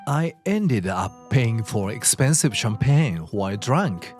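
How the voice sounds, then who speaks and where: A man's voice: medium pitch, big pitch swings, slow. A man playing a deceitful, pleading and impatient husband in a manga dub drama. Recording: music behind the voice.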